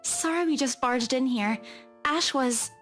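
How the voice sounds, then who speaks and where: A woman's voice: high-pitched, a little slow, a bit bright, faint music behind. A woman voicing a timid, apologetic young woman in a video game.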